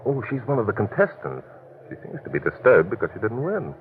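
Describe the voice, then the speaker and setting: A man's voice: fairly low pitch, huge pitch swings, fast. A man acting an urbane, clever amateur sleuth in a radio mystery, polite, precise and lightly ironic. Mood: amused, observant. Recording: muffled, hissy old radio broadcast.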